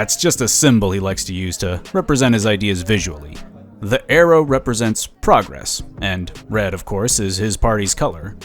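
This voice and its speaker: An actor playing a slick, smug lobbyist in a sci-fi audio drama, chatty and condescending. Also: male voice, lowish voice. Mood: breezy, self-satisfied. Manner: chatty, smooth-talking, condescending. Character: smug, slick, scheming male political lobbyist.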